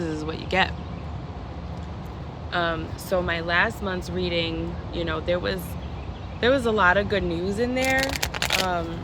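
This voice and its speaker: A slightly hoarse woman drifts through a casual tarot reading at an unhurried pace, candid and meandering. She is outdoors, and car traffic rumbles clearly behind her. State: slightly hoarse, recovering from lost voice. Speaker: tarot reader. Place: outdoors in a city, traffic nearby.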